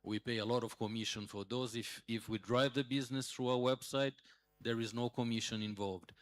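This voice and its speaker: A man in his sixties giving a conference talk as a hotel manager, pausing often with fillers and restarts. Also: mid-range voice, steady tone. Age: 60s. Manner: hesitant, many fillers and restarts.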